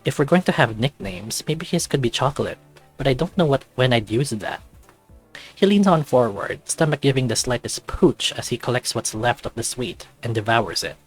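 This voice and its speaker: A man reading a visual novel aloud as an expressive narrator. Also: medium pitch, normal speed, breathy edge. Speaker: YouTube visual novel reader. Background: music.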